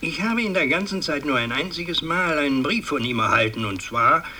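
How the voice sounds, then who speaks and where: An actor playing a pompous, fussy older aristocratic patriarch in a radio drama, speaking in a halting, ceremonious, long-winded way. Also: male voice, on the high side, lively intonation, pushed and bright. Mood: solemn, fussy. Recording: distant, noisy 1960s radio recording.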